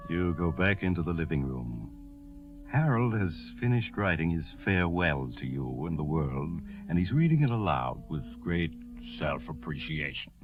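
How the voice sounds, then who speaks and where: Sinister storyteller, very low, sardonic narration, music behind, tinny 1940s radio recording. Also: male voice, sing-song, normal speed. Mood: ominous. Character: mysterious, sinister male storyteller, sardonic and insinuating. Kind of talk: dramatic second-person 1940s radio narration.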